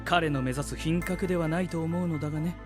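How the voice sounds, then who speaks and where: A man playing a cool, analytical young knight in an anime-style voice drama, speaking in a measured, formal way. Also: on the high side, fairly even intonation, music in the background, natural voice. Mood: aloof, analytical.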